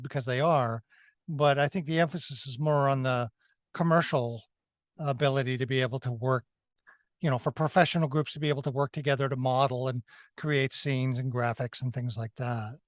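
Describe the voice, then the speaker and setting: A man co-hosting a review discussion, explaining his views casually and haltingly, often repeating and correcting himself. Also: medium pitch, no room sound. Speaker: podcast co-host reviewing films.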